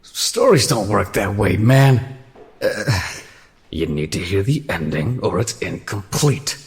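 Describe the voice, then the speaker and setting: A terse amateur theater player, acted by a man, with a lowish voice. He delivers audio drama dialogue plainly and matter-of-factly. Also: big pitch swings, slow pace, full character voice. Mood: terse, brooding. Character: terse, matter-of-fact male amateur stage actor.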